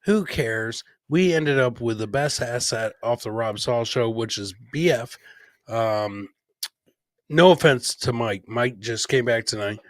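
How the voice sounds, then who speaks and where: A man's voice: mid-range voice, measured pace, no room sound. A man hosting a casual livestream podcast, bantering informally with some swearing and lots of "man" and "brother". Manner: informal, profane, says "man" and "brother" often. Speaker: podcast host.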